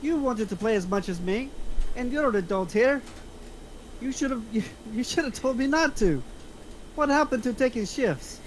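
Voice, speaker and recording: A woman playing a hot-headed, foul-mouthed criminal sidekick of twenty-four in a film table read, pushy and quick to blame. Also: fairly high pitch, expressive, a little hiss or hum, lightly acted, poor audio. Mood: combative. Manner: blaming, pushy, sweary.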